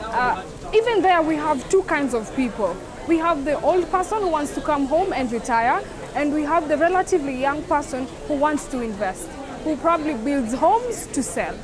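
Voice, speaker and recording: High-pitched female exhibitor, relaxed explanation, crowd chatter behind. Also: moderate pace. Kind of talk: interview at an expo stand. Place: indoor expo stand.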